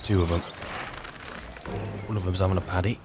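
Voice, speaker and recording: A man's voice: low-pitched, fairly even intonation, brisk. A British man doing casual in-character commentary while playing a fantasy game, wryly muttering to himself. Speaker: player narrating a roleplay of a fantasy video game. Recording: game music behind the voice.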